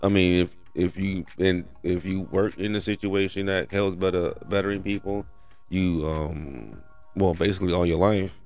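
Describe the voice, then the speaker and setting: A man's voice: sits low, fairly even intonation, normal speed, a little mellow, soft background music. A man hosting a casual podcast, teasing his guest and swearing freely. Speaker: podcast host.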